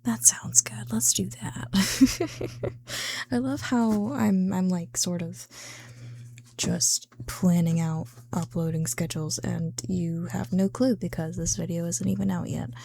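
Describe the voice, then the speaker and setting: A woman's voice: on the low side, takes it slowly, vocal fry, warm tone, slightly rough. A tired woman chatting casually to her viewers in a partly whispered ASMR style, rambling in a self-amused way with loose, sweary language. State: tired, late at night. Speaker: ASMR creator.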